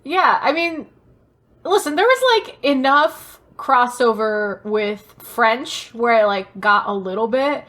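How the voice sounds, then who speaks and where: A woman co-hosting a podcast in casual chat, telling things in an animated, emphatic way with plenty of swearing. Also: a little high, slow pace. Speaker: podcast co-host. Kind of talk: casual pop-culture chat.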